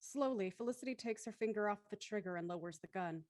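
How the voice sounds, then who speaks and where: Woman, narrator, scripted audio thriller, medium pitch, dry close sound. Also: fast, a little mellow, no room sound.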